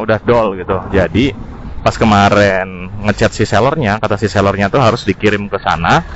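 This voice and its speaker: Guy doing a chill motovlog review, mixes in english words, muffled intercom mic, bit of road noise. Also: medium pitch. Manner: informal, mixes in English terms. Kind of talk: casual product review vlog while riding. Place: outdoors, riding a scooter in city traffic. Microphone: helmet intercom mic. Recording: dull intercom audio with faint vehicle noise. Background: faint traffic and engine noise.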